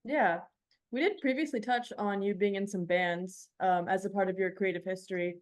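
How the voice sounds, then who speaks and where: A woman's voice: medium pitch, moderate pace. A woman working as a radio DJ, interviewing a guest in a casual conversational style.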